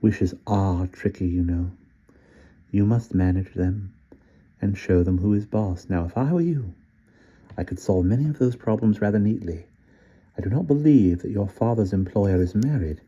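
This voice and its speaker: A man voicing a short, slight, sinister old man who speaks softly with a faint British accent. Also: fairly low pitch, moderate pace. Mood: coaxing menace. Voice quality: soft, smooth and coaxing. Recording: dull lo-fi recording.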